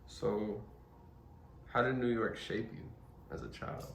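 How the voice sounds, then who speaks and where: A man's voice: fairly low pitch, slow, creaky, a little hiss or hum. A man hosting an interview, asking questions and summing up the guest's answers back to him. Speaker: interviewer host. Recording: roomy, distant mic with faint ambience.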